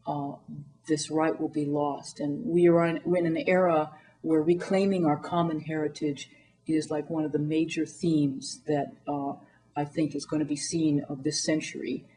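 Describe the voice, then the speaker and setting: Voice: medium pitch, flat delivery, normal speed, dark tone, rough recording. A community organizer talking in an earnest way with fillers in an interview. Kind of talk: casual interview talk.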